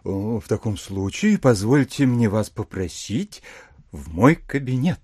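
An actor playing a sugary, gracious, slow-witted country landowner in an audio drama, cloyingly polite and hesitant. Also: male voice, fairly low pitch, big pitch swings, darkish tone, husky, no room sound, clean studio sound, exaggerated voice. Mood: sugary, obliging.

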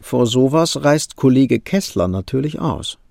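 An actor narrating a German radio play as a wry storyteller. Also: male voice, medium pitch, animated, a little slow, gravelly, warm tone, dry recording. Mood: tense, wry.

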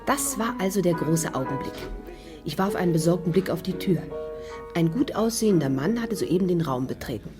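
A woman's voice: fairly low pitch, expressive, fairly quick, over loud music, close to an everyday voice. An actress playing a shrewd young woman who narrates her adventure in a composed, wry way in a 1950s radio drama. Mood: wry, watchful. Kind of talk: scripted narration, 1950s German radio play.

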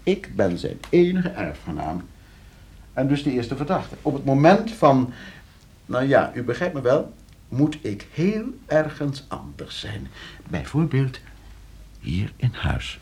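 An actor playing a coolly scheming, suave and condescending nephew in a Dutch radio play. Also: male voice, medium pitch, wildly swooping pitch, slow pace, a little mellow, breathy edge, heavily acted. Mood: calculating, suave. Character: scheming, debt-ridden nephew coolly arranging his uncle's murder.

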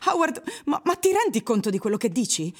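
A resentful, neglected wife in a radio drama. Her voice is on the high side, and she speaks emotively, full of reproach toward her husband. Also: big pitch swings, a little fast. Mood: bitter, indignant. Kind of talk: radio drama monologue and dialogue.

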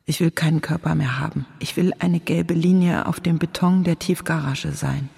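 A woman's voice: sits low, steady tone, normal speed, gravelly, darkish tone, husky, heavily acted. An actress playing an exhausted, withdrawn journalist woman in an introspective, detached radio drama monologue. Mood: numb, resigned.

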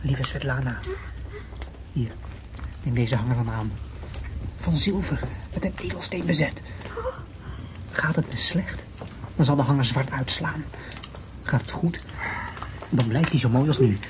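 A man's voice: mid-range voice, a little slow, long pauses, natural voice. A man playing a tender young lover setting out on a quest in a radio drama. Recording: noisy radio play recording.

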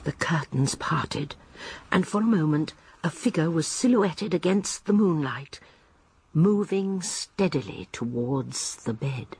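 An actress playing a shrewd, composed elderly English spinster detective in a radio drama, with a gentle, breathy voice. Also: female voice, low-pitched, expressive, slow, slight background noise, exaggerated voice. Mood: measured, narrating. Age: older.

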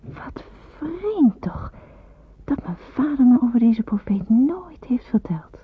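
Hushed, husky, pensive young woman. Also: fairly high pitch, big pitch swings, heavily acted. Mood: wondering, fervent. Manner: hushed, slow, breathy reflection. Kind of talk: radio drama monologue, diary-like narration. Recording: muffled roomy phone-like old radio recording.

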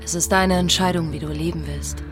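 A woman's voice: on the low side, fairly even intonation, fairly quick, close to an everyday voice. Actress doing a defiant lady pirate, direct and bossy, radio play with music under it. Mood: earnest, confiding. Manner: commanding, direct. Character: commanding, bold female pirate, frank and defiant. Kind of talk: German radio drama acting. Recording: music behind the voice.

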